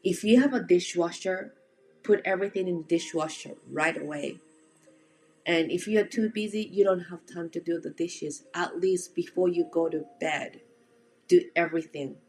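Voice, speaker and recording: A woman's voice: lowish voice, normal speed, darkish tone, soft background music. A woman from Japan with a Japanese accent, giving casual, direct instruction as a home organizing coach and speaking to the listener in an encouraging, plain-spoken way.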